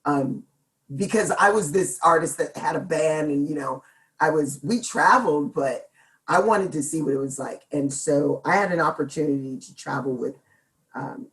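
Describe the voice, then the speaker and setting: Middle-aged woman, deep gravelly voice, relaxed and jokey interview guest. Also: normal speed. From Seattle, United States. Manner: chatty, laughs often, jokes about herself. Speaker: guest singer. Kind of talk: casual interview chat.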